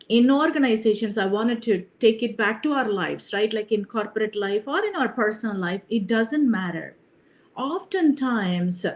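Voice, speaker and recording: An earnest middle-aged woman coach from India talks on a video call, her voice a little higher than most. She tucks "you know" and "right?" into her reflective answers. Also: a little mellow, rough recording. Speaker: leadership coach, guest. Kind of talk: reflective interview talk.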